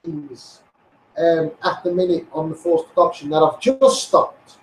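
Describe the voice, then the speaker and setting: High-pitched Scouse man, echoey and distant. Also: lively intonation, takes it slowly, a little hiss or hum. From Liverpool area, England. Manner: insistent, repetitive, addressing viewers directly. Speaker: online campaigner livestreaming. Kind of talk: impassioned rambling livestream rant. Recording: echoey room, distant microphone.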